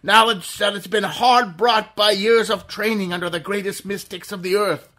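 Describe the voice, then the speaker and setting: A man's voice: sits high, steady tone, normal speed, sharp tone. A man playing a mysterious, invisible male avenger who speaks as a disembodied voice, in a theatrical, mocking tone, in a 1930s-style radio drama. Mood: ominous.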